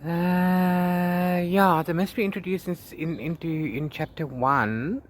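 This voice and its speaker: Man in a halting, noisy video diary. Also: sits high. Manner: halting, repeats and restarts words, playful sign-offs. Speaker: book vlogger, read-along co-host. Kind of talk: casual rambling video diary about a book. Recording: clear background noise, ambient sound.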